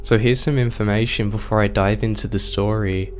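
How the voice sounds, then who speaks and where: Low-voiced man with a strained, hoarse voice. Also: steady tone, a little fast, faint music behind. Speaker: horror story narrator, channel host. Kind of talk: scripted horror story narration.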